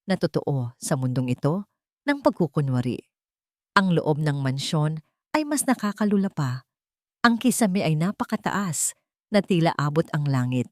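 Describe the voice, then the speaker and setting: Low-voiced woman narrating a story, dry sound. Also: a little mellow, no echo. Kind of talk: scripted dramatic story narration.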